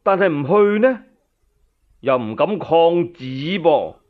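A man's voice: on the high side, very animated, slow pace, phone-quality sound. A man with a Cantonese accent narrating a dramatic tale as a solo radio storyteller.

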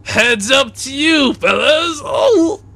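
A man voicing a dramatic, accusing embodiment of envy in an improvised comedy game dub, speaking in halting, theatrical phrases. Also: very high-pitched, animated, gravelly, bright, forceful tone, exaggerated voice. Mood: mock dying.

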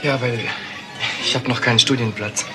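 An actor playing a shy, awkward young hospital nurse in a German crime drama. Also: male voice, mid-range voice, fairly even intonation, moderate pace. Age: young adult. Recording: loud music behind dialogue.

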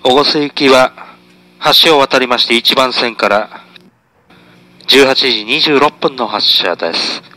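A man's voice: mid-range voice, a little slow, sharp tone, no echo, poor audio. A man, a train conductor, making a formal, polite, routine live announcement on board.

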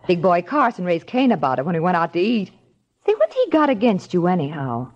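An actress playing a brash, nosy, wisecracking office secretary with a husky voice in old-time radio drama style. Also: female voice, lowish voice, sing-song, a little fast, darkish tone. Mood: brash, cheeky. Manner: brash, slangy, gossipy. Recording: muffled old radio recording.

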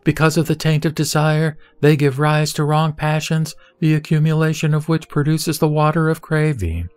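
Man voicing solemn Buddha, measured teacher. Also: on the high side, a little mellow, smooth, no echo, soft background music, natural voice. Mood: solemn, instructive. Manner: measured, formal, solemn reciting. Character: the Buddha, serene male teacher instructing a disciple. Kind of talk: scripted scripture audio drama, recited teaching.

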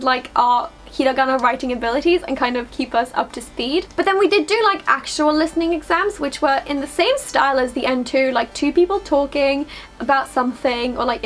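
High-voiced chatty Swedish-native woman vlogger. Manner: chatty, lots of fillers. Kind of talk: casual chat to camera. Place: small bedroom, indoors.

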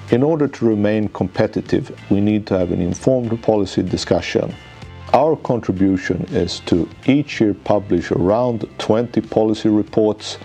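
A man in his forties, a managing director and economics professor, giving a formal, measured scripted presentation in an office lounge. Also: low voice, gravelly, mellow. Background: faint background music.

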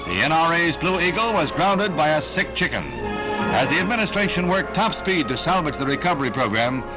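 Male American newsreel narrator, slightly high-pitched, dramatic and formal, loud music bed. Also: lively intonation, a little fast, poor audio. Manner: dramatic, formal announcer delivery. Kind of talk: mid-century newsreel narration.

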